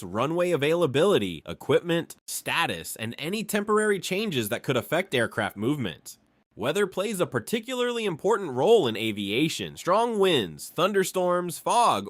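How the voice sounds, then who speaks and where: A man reading scripted explainer narration in a measured, clearly phrased way. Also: on the high side, no echo.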